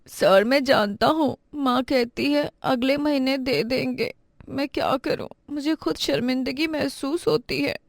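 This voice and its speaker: A woman's voice: high voice, lively intonation, a little slow, no room sound, clean studio sound, pitched above the performer's own voice. Woman voicing an earnest, humble young schoolboy. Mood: ashamed. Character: earnest, selfless poor schoolboy, humble and polite. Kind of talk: storybook character acting.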